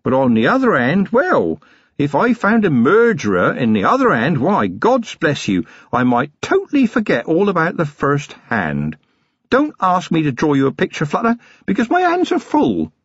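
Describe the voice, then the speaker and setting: A man voicing an eager young male constable who talks bluntly in rough working-class speech, raised high. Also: wildly swooping pitch, moderate pace, no room sound, lo-fi sound, full character voice. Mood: zealous.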